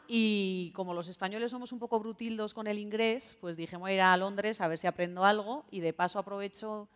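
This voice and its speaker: A woman's voice: mid-range voice, fairly quick, big-room sound, highs cut off. Chatty young woman from Spain. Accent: Spanish, from Spain. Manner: relaxed, chatty, self-mocking. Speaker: journalist, guest. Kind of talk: talk show interview. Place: TV studio set.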